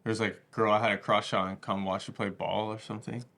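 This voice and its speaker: A man's voice: lowish voice, flat delivery, fast talker, fairly bright tone. A man co-hosting a podcast, talking in an informal, slangy, conversational way. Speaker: podcast co-host. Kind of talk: casual conversational podcast talk.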